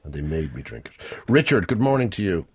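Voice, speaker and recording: A man's voice: sits low, brisk, a little hiss or hum. An Irish man hosting a radio show in a studio, chatting casually and teasing his guests with jokes. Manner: teasing, jokey, lively.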